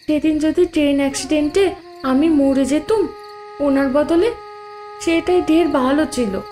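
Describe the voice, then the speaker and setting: A woman's voice: high-pitched, measured pace, over music. A woman playing a meek, sorrowful young village widow who believes herself cursed, in an audio drama. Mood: sorrowful, bitter. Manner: meek, self-deprecating, plaintive. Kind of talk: dramatised audio horror story acting.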